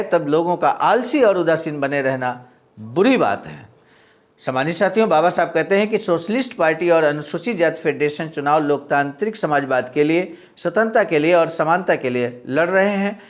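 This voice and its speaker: A man narrating, reading a historical speech report aloud in a formal way and addressing listeners respectfully. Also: on the high side.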